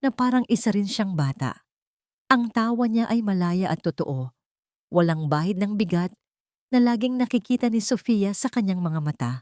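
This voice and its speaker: A woman's voice: medium pitch, lively intonation, normal speed, a little mellow, dry recording, studio quality. A woman reading scripted dramatic narration as the storyteller of a romantic tale.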